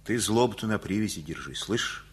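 A kindly older neighbour in a radio drama. His voice is very low and husky. He moralizes in homespun, colloquial phrases, like a favourite uncle. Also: expressive. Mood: earnest admonishing. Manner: avuncular, unhurried, colloquial preaching. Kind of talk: radio play acting.

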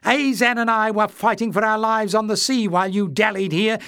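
A man's voice: sits high, steady tone, moderate pace, a bit bright, smooth, no echo, clean studio sound, pitched above the performer's own voice. A man voicing a sullen young male goblin who sneers and retorts furiously.

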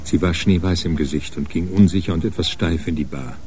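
A man's voice: sits low, fairly quick, a little mellow, natural voice. A man narrating a radio crime drama from a script, as a sober storyteller.

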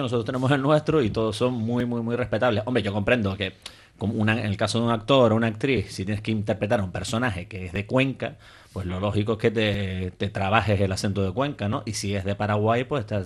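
A man's voice: on the low side, fast. A man with a Canarian accent, an English teacher on a discussion panel, making his points firmly and emphatically, often repeating words for stress.

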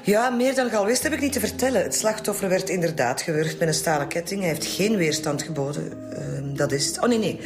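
Breezy Flemish forensic doctor, music behind. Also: female voice, lowish voice, expressive, brisk, hardly any pauses. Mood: matter-of-fact. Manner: jaunty, clinical, informal. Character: breezy, teasing, matter-of-fact female forensic doctor. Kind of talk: naturalistic radio drama dialogue.

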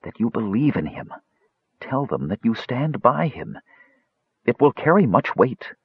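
A man's voice: sing-song, measured pace, slightly rough, no room sound, heavily acted. A man voicing an earnest, persuasive woman weaver in a fantasy audiobook. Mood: urging. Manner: low-voiced, persuasive. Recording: dull, lo-fi recording.

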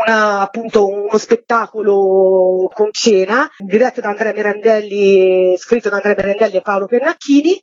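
A woman answers as an interview guest, explaining things in long, flowing detail. Her voice sits fairly high and has a husky grain. The recording is dry and somewhat dull and lo-fi. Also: no room sound. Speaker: theatre project organiser, interview guest. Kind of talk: spoken radio interview, explanatory.

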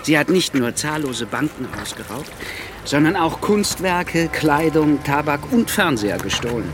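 A man's voice: on the high side, expressive, unhurried, background noise. A man playing an efficient, obliging assistant who briefs his employer in a radio drama. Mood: brisk, informative. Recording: music bed underneath.

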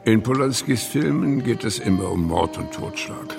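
An actor playing a stern male homicide investigator in a radio drama, with a gravelly voice. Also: mid-range voice, wildly swooping pitch, moderate pace, music in the background. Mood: grim, stern.

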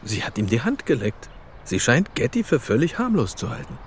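Wry, lively police detective. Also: male voice, mid-range voice, brisk, slight background noise. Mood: pondering. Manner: dry, wry, colloquial. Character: male police detective, dry and wry partner. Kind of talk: German audio drama acting.

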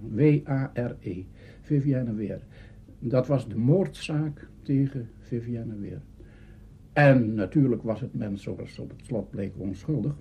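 An older man reminiscing, halting as he searches his memory. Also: medium pitch, breathy edge. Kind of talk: reminiscing talk. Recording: dull, faintly noisy archive recording.

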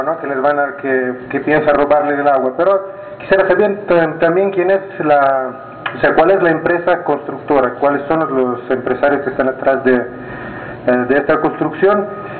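Hesitant man in an echoey hall. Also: fairly high pitch. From Italy. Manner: hesitant, searching for words. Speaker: audience member asking questions. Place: meeting hall with an audience. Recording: echoey room over a tinny lo-fi line.

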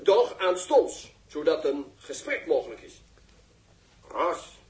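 High-pitched, pompous male reporter. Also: very animated, slow pace. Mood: flustered. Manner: formal, florid, stammering when flustered. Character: pompous, flustered male live reporter at a rocket launch. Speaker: television reporter. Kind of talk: 1960s radio drama acting, live broadcast commentary. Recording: echoey, distant 1960s radio recording.

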